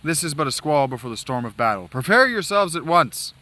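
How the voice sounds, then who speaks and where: A stern male knight commander declaims orders to his apprentices in a formal, booming manner, as a man acts him out. His voice is bright and forceful, swinging widely in pitch as he lays out the mission. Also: big pitch swings, fairly quick. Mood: commanding.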